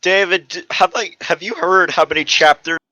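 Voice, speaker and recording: A man's voice: high voice, fairly even intonation, normal speed, pushed and bright, muffled recording. A man in a casual group voice chat, talking informally with exaggerated exasperation.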